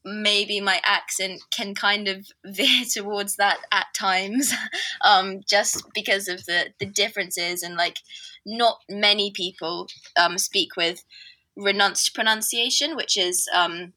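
A woman's voice: medium pitch, fairly even intonation, takes it slowly, bright, forceful tone, rough recording. A woman from southwest England with a near-standard southern English accent, chatting as an interview guest with lots of fillers and hedges. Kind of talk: casual interview chat.

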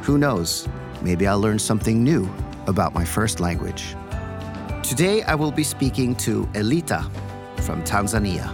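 A man hosting an interview podcast, speaking in a measured, curious way, first reading a scripted intro and then asking questions. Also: fairly low pitch, big pitch swings, over music. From raised in many countries, born in Belgium. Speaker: podcast host.

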